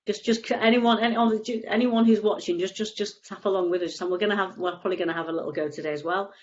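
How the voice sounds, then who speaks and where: A woman's voice: medium pitch, steady tone, fast talker, dull audio, slight background noise. A woman with a cold and a stuffy nose, explaining tapping casually on a video call, rambling with frequent restarts. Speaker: EFT tapping practitioner, guest.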